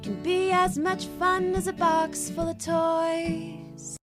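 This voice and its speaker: Man putting on a high, slow cheerful girl. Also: flat delivery, over loud music. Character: heroine girl quoted reciting her cheerful motto. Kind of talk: children's radio play.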